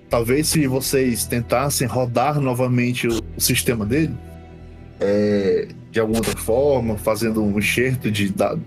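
Brazilian man narrating a game over music. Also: medium pitch. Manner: halting, repeats words while improvising. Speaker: game master. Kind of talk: improvised narration of a tabletop game.

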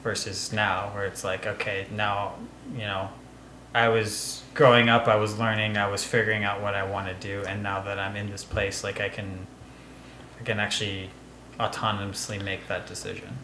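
A man hosting a podcast, talking in an easygoing, supportive way with halting filler words. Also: lowish voice, flat delivery, pushed and bright, echoey room, a little hiss or hum. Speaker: podcast host. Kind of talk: casual chat.

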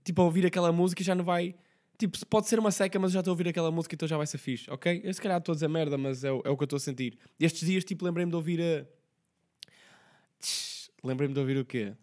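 A hoarse young man with a Portuguese accent, hosting his podcast as a rambling, slang-heavy casual chat. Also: fairly high pitch, steady tone, darkish tone. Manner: slangy, rambling, quick talk with many fillers. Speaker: podcast host.

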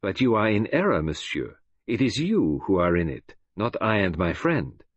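A man voicing a courteous, shrewd detective in an audiobook, with a gentle, precise, self-assured manner. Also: fairly low pitch, big pitch swings, moderate pace, dry recording, lo-fi sound. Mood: gentle, composed. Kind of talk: audiobook character voice.

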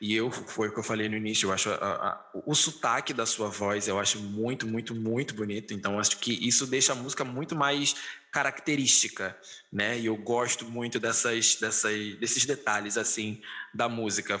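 Bright-voiced host with a Rio accent. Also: male voice, medium pitch. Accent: Rio de Janeiro. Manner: enthusiastic, effusive, stumbling restarts. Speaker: podcast host. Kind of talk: casual interview chat.

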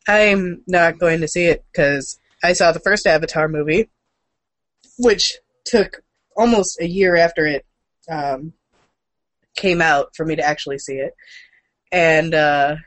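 A woman's voice: sits low, measured pace, pauses often, dry recording. A woman co-hosting in casual, unscripted chat with an irreverent, playful, sweary manner. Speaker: co-host of a gaming and comics news show.

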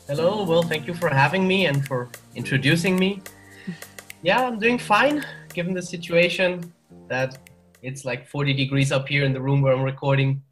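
A man's voice: on the high side, moderate pace, fairly bright tone, soft background music. A man in his forties with a German accent, a podcast guest talking through his answers with small restarts. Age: 40s. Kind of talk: casual interview chat. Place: hot room at home.

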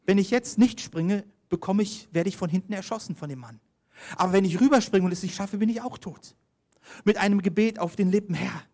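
A man's voice: sits high, a little mellow. A man preaching a sermon, telling mission stories, reading scripture aloud and calling for the next slide. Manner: storytelling, reads scripture aloud, directs slides.

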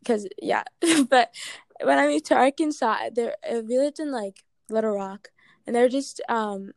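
A woman's voice: on the high side, measured pace, vocal fry, dry recording. Chatty woman with an American accent. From Ghana. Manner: chatty, many "like" and "um" fillers. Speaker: interviewee. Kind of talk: casual interview answers.

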